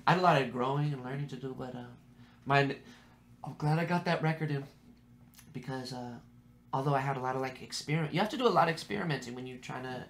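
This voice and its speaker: A man's voice: medium pitch, fast talker, a little hiss or hum. A man from the Bay Area with a Californian accent, telling stories casually and in slang as a podcast guest, acting out bits of dialogue as he goes. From San Francisco Bay Area, California, US. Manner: slangy, animated storytelling with acted-out quotes. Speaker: musician interviewed as guest.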